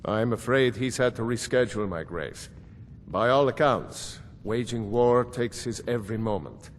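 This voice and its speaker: Man playing a tactful court steward, echoing hall. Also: close to an everyday voice. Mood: dry, resigned. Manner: measured, deferential. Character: patient, tactful, dry middle-aged court steward. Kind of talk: fantasy game character acting. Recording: reverberant hall, faint rumbling noise.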